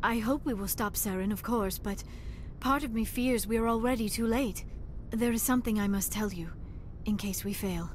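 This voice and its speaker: A woman's voice: a little high, moderate pace, slight background noise, natural voice. A woman acting as an earnest young female alien scientist in a video game, speaking in formal, careful phrases. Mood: tender, anxious.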